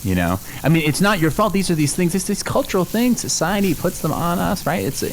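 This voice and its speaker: Man playing earnest lecturing father, highish voice, very varied intonation, reassuring, preachy, improv radio comedy, background noise. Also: very animated, brisk. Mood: persuasive lecturing. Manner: reassuring but lecturing, seeks agreement with "right?".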